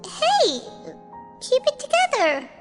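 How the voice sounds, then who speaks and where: A woman voicing a tiny, bold female companion sprite in a game cutscene, with a squeaky, sassy way of talking. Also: wildly swooping pitch, full character voice. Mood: urgent. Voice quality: squeaky, shrill. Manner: sassy, quick to jab back. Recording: game audio with loud music.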